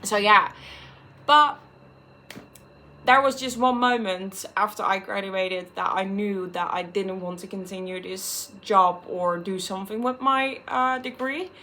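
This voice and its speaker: A woman's voice: medium pitch, unhurried, bright, forceful tone. A woman in her twenties from the Netherlands, speaking English with a Dutch accent, talking frankly and swearing freely in a candid personal vlog. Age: 20s. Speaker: vlogger.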